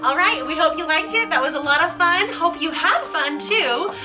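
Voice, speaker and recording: High, very animated woman storyteller. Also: moderate pace. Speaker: host and storyteller. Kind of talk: animated storybook narration for children. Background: music.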